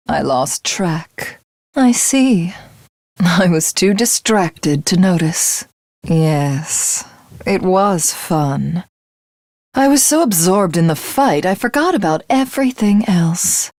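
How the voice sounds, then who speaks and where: A woman playing a grandiose, battle-crazed villainess who thinks herself a god, in theatrical, imperious anime game voice acting with a breathy voice that slips toward whispers. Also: on the low side, a little mellow, no room sound, studio quality. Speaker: video game voice actress. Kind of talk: dramatic anime game character voice lines.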